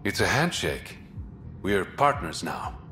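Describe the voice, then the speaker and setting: A suave smuggler who secretly runs the underworld for a video game. His voice is low and bright-edged, with teasing confidence and faint music behind it. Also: big pitch swings, slow, full character voice. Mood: relaxed, confiding. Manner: smooth, teasing, self-assured. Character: suave, wry male smuggler and secret crime boss. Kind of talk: cinematic game dialogue acting.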